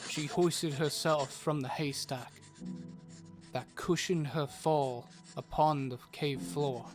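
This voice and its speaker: A man narrating a scripted fantasy story for an audio drama. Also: fairly high pitch.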